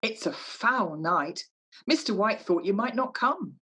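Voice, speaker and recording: Woman playing a slow, husky, concerned mother. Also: medium pitch, expressive, bright, forceful tone, heavily acted. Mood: disapproving. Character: prim, concerned mother, disapproving but kindly. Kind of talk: amateur radio play acting.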